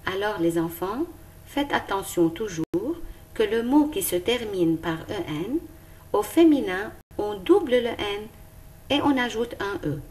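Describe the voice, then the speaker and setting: Female schoolteacher, teaching children slowly with a lively, rising and falling voice. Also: medium pitch. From Lebanon. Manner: slow, careful and clearly articulated. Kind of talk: recorded lesson for young pupils.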